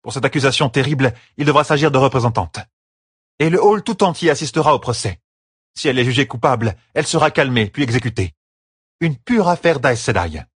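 Guy doing a bossy formal woman healer, fantasy audiobook, imperious and clipped, voice pushed up high. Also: lively intonation, brisk, husky, no room sound, clean studio sound. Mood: stern. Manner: curt, imperious. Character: haughty, brisk woman magic healer, stern and formal.

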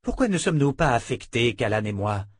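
An earnest young man, protective and anxious, as a narrator plays him, in a fantasy audiobook. The voice is somewhat husky and very dry, set a little above mid pitch. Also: very animated, a little fast, dry recording. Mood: questioning.

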